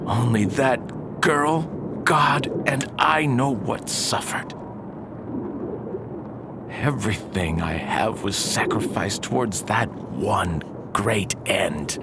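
A man's voice: lowish voice, slow pace, very noisy. A man playing an obsessive, embittered old alchemist father, declaiming grandly in a period radio melodrama. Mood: proud, feverish.

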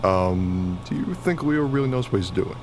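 Man acting a low-voiced grumbling husband, noisy recording. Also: lively intonation, moderate pace. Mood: puzzled. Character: grumbling, baffled working-class husband, short of money. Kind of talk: radio play acting. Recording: noisy recording, vehicle-like ambience.

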